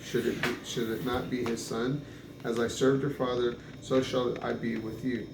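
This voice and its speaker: A man reading scripture aloud in a halting way, stumbling over names and restarting. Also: mid-range voice, little pitch movement. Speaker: Bible reader. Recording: echoey room with clear background noise.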